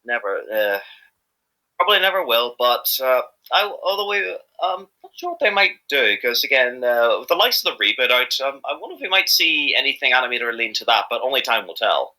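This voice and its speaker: A man's voice: medium pitch, very animated, fairly quick, sharp tone. A man chatting casually as an interviewed amateur voice actor, rambling and often repeating himself hesitantly.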